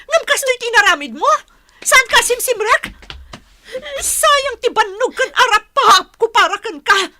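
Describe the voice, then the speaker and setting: A woman's voice: very high-pitched, expressive, unhurried, pushed and bright, exaggerated voice. A woman acting a hardworking, strict elder sister in an audio drama, reproachful and emphatic in manner. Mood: furious, hurt. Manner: emphatic, scolding.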